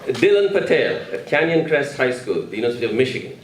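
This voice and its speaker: A man's voice: mid-range voice, sing-song, quick pace. A man presenting at an awards ceremony, announcing the names of recipients to an audience in a hall. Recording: echoey hall, distant mic, crowd noise.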